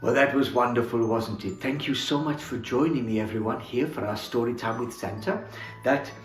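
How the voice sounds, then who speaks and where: A man playing Santa as storyteller, reading a children's book aloud in a theatrical, expressive way. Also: medium pitch, normal speed. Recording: roomy echo, faint music.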